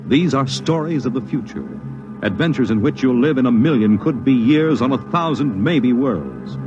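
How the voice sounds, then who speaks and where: A man announcing a 1950s science fiction radio series in a grand, booming style. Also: big pitch swings, normal speed, muffled recording. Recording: old radio broadcast with music.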